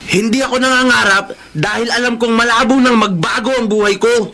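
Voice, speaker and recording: A man's voice: extremely high pitch, steady tone, a little fast, a crowd in the background. A man playing a cynical, poor slum boy in a Filipino radio drama, taunting and fatalistic. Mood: bitter, jeering.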